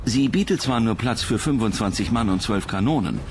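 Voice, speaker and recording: A man's voice: fairly low pitch, expressive, brisk, music in the background. A man reading scripted documentary narration as the narrator.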